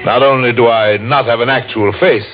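An actor playing a scheming faceless devil in a 1940s radio horror play, grandiose and menacing. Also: male voice, mid-range voice, fairly even intonation, moderate pace, close to an everyday voice. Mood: gloating. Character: sinister faceless male devil, smooth scheming villain. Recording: muffled old radio recording, music behind.

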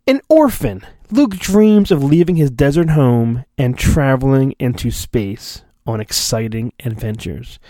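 Slow, theatrical man reading with lively swings. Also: mid-range voice, dry recording. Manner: theatrical, self-mocking, often breaks off to mutter. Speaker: podcast host and reader. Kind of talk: mock-dramatic storybook reading with joking asides.

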